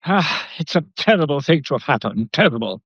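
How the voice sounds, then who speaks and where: Nervy, high-pitched British gentleman. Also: very animated, brisk, slightly rough, no room sound, full character voice. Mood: uneasy. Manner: stammering, formal, insistent repetition. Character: nervy, evasive, fussily formal gallery man. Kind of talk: period British radio drama acting. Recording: dull, muffled lo-fi audio.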